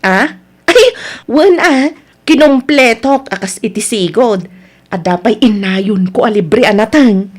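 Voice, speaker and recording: A woman plays a patient, friendly market seller from a radio serial. Her voice sits fairly high, and she speaks with an Ilocano Filipino accent in a dramatized, neighbourly way. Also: expressive, slow. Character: friendly village market vendor woman, patient cousin and daughter.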